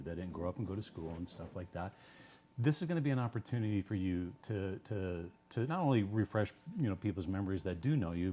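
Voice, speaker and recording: A man with a somewhat low voice hosts a local television interview. He asks his questions casually, often restarting mid-sentence. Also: mellow. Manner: casual, halting, friendly questioning. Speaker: TV host.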